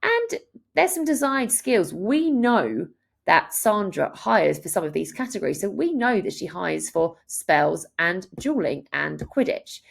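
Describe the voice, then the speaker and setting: A woman in her thirties giving an explanatory, step-by-step software walkthrough as a tutorial presenter. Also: on the low side, sing-song, measured pace, gravelly. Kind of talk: instructional screen-share walkthrough.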